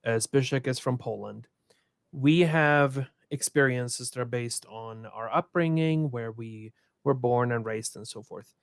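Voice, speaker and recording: A man's voice: mid-range voice, unhurried, vocal fry, no room sound, studio quality. A man from Sweden moderating a conference panel, speaking thoughtfully with many restarts and repeated words.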